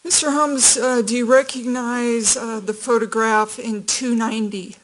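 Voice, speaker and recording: Higher-voiced woman attorney, gently questioning. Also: steady tone, low-quality recording. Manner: measured, gentle questioning with uh fillers. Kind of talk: courtroom questioning. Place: courtroom.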